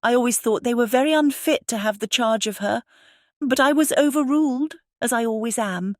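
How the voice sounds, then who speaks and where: A woman's voice: a little high, normal speed, dry recording, studio quality, voice pushed up, close to an everyday voice. Woman acting a fretful, lamenting middle-aged mother. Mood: plaintive, distressed. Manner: lamenting, complaining, exclamatory. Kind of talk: dramatized audiobook character reading.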